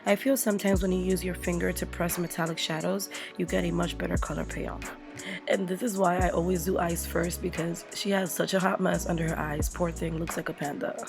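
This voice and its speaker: Hoarse young woman, chatty tutorial narrator, over loud background music. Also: on the low side, flat delivery, a little fast. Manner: chatty, playful, narrating each step. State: losing her voice from a cold. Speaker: makeup artist.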